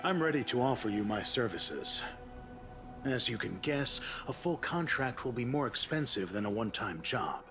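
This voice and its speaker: A man voicing a businesslike dwarf assassin in a fantasy game, measured, formal and matter-of-fact. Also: medium pitch, very animated, music in the background. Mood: grim, businesslike. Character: businesslike, grim male dwarf assassin for hire.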